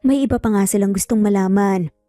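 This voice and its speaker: Casting: female audio-story narrator, dramatic scripted delivery, warm relaxed timbre, dry sound over faint music. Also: mid-range voice, fairly quick, dry recording.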